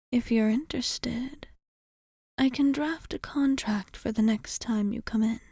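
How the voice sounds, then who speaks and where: A woman voicing a courteous, teasing vampire bartender in an ASMR roleplay, with a soft, breathy half-whisper and measured, formal phrasing. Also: fairly high pitch, dry recording, clean studio sound, exaggerated voice. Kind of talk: ASMR roleplay, intimate monologue.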